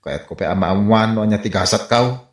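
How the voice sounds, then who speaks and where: A man with an Ilocano accent acting an excitable, pleading man in a rural comedy radio drama. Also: fairly low pitch, brisk, roomy sound, lightly acted. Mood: pleading, earnest.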